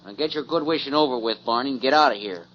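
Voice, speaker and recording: An actor playing a pushy, possessive rodeo cowboy in a 1940s radio drama. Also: male voice, medium pitch, fast, close to an everyday voice. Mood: belligerent. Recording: muffled, noisy 1940s radio recording.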